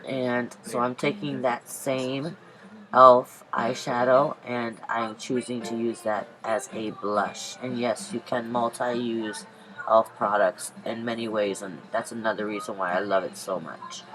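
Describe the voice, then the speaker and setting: A woman with a very low voice, congested from a cold, narrates her makeup routine. She rambles casually, pausing on ums and restarting her sentences. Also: steady tone, low-quality recording. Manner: chatty, rambling, many ums and self-corrections. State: stuffy from a cold. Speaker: beauty vlogger. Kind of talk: casual makeup tutorial voice-over.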